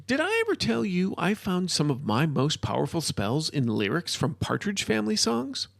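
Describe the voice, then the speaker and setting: A man playing an excitable modern-day wizard in a storybook, ranting and lecturing in an emphatic, informal way. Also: sits high, moderate pace, vocal fry, no echo, clean studio sound, pitched above the performer's own voice, full character voice. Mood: indignant ranting. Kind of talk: storybook character dialogue.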